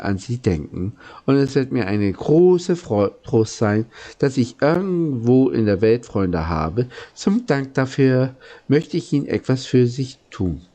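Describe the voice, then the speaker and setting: Man reading as gentle old giant, slow and mellow. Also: medium pitch. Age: older. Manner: courteous, earnest, halting explanations.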